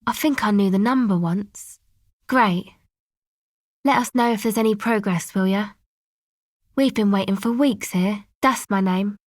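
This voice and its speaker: A dry, close studio recording of a woman playing a streetwise young woman in a video game. She talks in a laid-back, slangy way with offhand hesitations, blunt yet sympathetic, at a medium pitch. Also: measured pace, pauses often, no echo, lightly acted. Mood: guarded, casual. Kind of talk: naturalistic video game dialogue.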